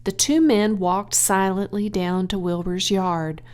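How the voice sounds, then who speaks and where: A woman reading a children's audiobook aloud as an expressive storyteller. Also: medium pitch, measured pace, clean tone. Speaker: audiobook reader.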